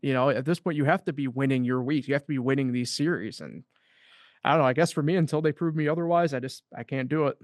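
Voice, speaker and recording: Casual sports talk dude, mid-pitched and rambly, lots of "like", dry close mic. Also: male voice, fast talker, dry recording. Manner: loose and rambling, heavy on fillers. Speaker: co-host of a sports podcast.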